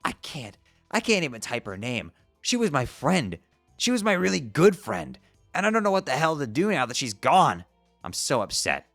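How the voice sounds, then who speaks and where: A man voicing an abrasive teenage troll boy who yells insults and swears constantly. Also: mid-range voice, very animated, normal speed, no room sound, studio quality, soft background music. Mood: upset. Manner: yelling, profane, insulting. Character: furious shouting teen troll boy, abrasive. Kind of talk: fan dub of a chat comic.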